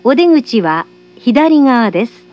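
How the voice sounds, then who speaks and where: A woman's voice: a little high, sing-song, slow, darkish tone. A woman giving formal, polite, carefully enunciated scripted train announcements, recorded from a carriage speaker.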